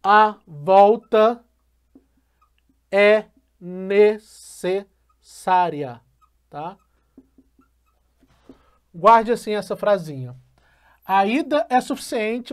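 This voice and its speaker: Man, math teacher, high voice, patient, repeats key phrases slowly. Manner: patient, checks in often with "tá?". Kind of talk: explaining a logic lesson.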